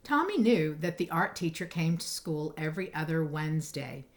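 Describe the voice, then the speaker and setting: A woman reading a children's picture book aloud, with expressive phrasing and emphasized words. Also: low-pitched, moderate pace. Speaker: storybook reader.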